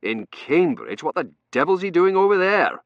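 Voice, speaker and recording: A commanding male academic as a man narrating an audiobook plays him. He is brisk and easily exasperated, with very lively intonation and an authoritative manner, recorded dry. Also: medium pitch, no room sound, dull audio, pitched above the performer's own voice, lightly acted.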